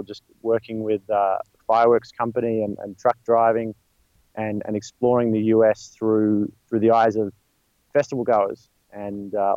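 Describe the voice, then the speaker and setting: A man's voice: mid-range voice, a little mellow, no echo, highs cut off. A man with an Australian accent, chatting as an interview guest, rambling with many fillers and restarts. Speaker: guest, stuntman. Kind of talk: casual interview talk.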